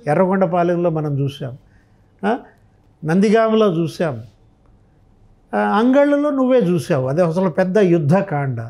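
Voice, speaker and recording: A man's voice: sits high, very animated, unhurried, long pauses, dark tone. A man giving impassioned political commentary, emphatic and full of rhetorical questions. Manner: emphatic, rhetorical questioning, re-enacting others' remarks.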